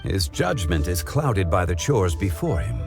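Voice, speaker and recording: A deep, wise old storyteller. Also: male voice, big pitch swings, over loud music, heavily acted. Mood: thoughtful. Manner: measured, formal, sage-like phrasing. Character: calm, wise older male storyteller. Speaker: game character. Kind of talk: game cutscene voice acting.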